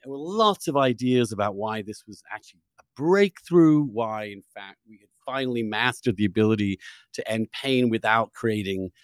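A man with a fairly low voice, recorded close and dry, hosts a discussion. He probes and debates conversationally, often halting and doubling back on his words as he builds a point. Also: expressive, no room sound. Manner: halting, repeats words, probing. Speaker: podcast host and interviewer. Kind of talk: conversational debate-style interview.

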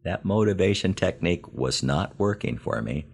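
Very deep, gravelly older American man. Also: lively intonation. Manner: wry, self-deprecating, with deliberate pauses for emphasis. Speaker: podcast host and storyteller. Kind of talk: scripted humorous memoir narration.

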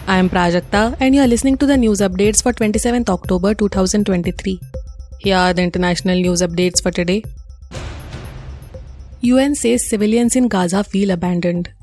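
Woman, Indian accent, news presenter, scripted bulletin reading, medium pitch, faint music behind. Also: fairly quick, darkish tone.

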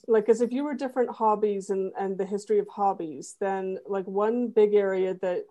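A woman's voice: mid-range voice, dark tone. A woman guest on a podcast, talking casually with lots of fillers and restarts. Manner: rambling, many fillers and restarts. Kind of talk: casual chat.

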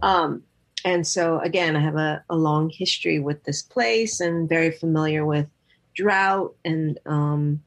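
Woman director, lowish voice, hesitant, pauses and fillers, podcast interview. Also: fairly even intonation. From Los Angeles. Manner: hesitant, many fillers and restarts. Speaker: film director.

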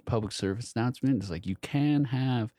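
A man's voice: mid-range voice, a little fast. A man co-hosting a podcast in casual chat, talking in an informal, slangy and wry way. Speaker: podcast co-host.

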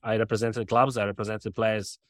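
This is a middle-aged man from Serbia with a Serbian accent, speaking in a fairly low voice. He talks haltingly, repeating words and filling gaps as he builds his answers. The recording is dry and close, with little room sound. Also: flat delivery, no echo. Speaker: sporting director of a soccer club. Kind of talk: podcast interview.

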